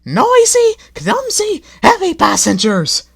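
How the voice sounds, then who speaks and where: A man's voice: very high-pitched, very animated, a little slow, a bit bright, pitched above the performer's own voice, exaggerated voice. A man voicing a pair of lazy, fussy old railway coaches who whine and squeal. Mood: whining. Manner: whiny, squealing, complaining.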